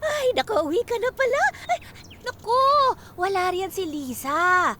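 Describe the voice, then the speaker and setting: A nosy, excitable woman neighbour in a Filipino radio drama. Her voice is extremely high and swoops wildly, gossipy and exclamatory, over faint noise. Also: normal speed, full character voice. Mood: nosy surprise.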